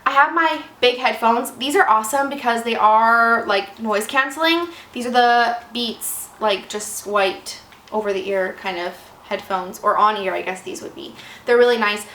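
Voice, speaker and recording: A woman in her twenties with a slightly raspy voice from a cold, chatting casually and rambling a little. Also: fairly high pitch, moderate pace, bright, forceful tone. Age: 20s. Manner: chatty, rambling, self-deprecating. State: fighting off a cold. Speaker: vlogger. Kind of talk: casual chat. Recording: echoey room with faint noise.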